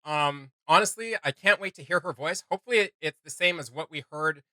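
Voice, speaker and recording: A man's voice: a little high, brisk, sharp tone, no echo, low-quality recording. A man co-hosting a casual reaction video, rambling enthusiastically and often searching for his words. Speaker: co-host of a reaction channel.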